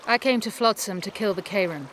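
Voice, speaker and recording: Woman acting a haughty, bright-voiced sorceress. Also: medium pitch, fairly quick, a little hiss or hum, natural voice. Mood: cool, haughty. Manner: imperious, formal. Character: haughty, cool female sorceress. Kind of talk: video game acting.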